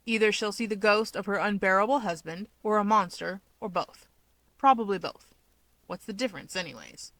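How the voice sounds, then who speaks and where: A woman's voice: medium pitch, normal speed, a bit bright, no room sound. Woman narrating fiction, dry close sound. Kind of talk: expressive scripted story narration.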